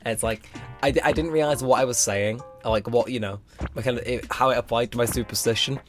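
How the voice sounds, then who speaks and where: Rambling young man, slightly hoarse. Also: mid-range voice, fast talker, fairly bright tone. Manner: rambling, filler-heavy, self-mocking. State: strained, slightly hoarse throat. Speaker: let's play host. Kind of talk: casual gameplay commentary. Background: game music.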